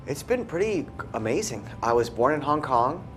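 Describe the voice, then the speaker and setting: A man's voice: mid-range voice, very animated, moderate pace, soft background music. A man from the United States speaking casually as an interview guest, easygoing and laid-back. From United States, after early childhood abroad. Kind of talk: casual chat.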